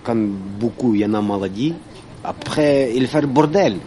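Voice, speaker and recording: A man's voice: mid-range voice, warm tone, a little hiss or hum. Blunt man with a Ukrainian accent. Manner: halting, broken French, blunt and matter-of-fact. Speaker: passer-by interviewed in the street. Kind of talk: street interview.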